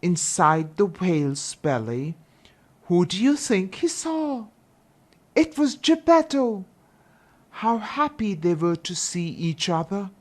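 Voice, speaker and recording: A woman narrating a children's fairy tale with deliberate, expressive phrasing. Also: on the low side. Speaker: storyteller.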